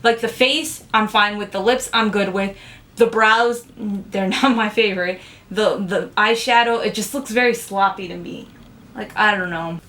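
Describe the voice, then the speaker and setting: A young woman in a relaxed beauty vlog, talking straight to the camera about cheap makeup. She is chatty and frank, leaning on "like" as she goes, in a plain mid-pitched voice. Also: measured pace. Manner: chatty, frank, filler-heavy with "like". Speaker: beauty vlogger. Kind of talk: casual makeup review to camera.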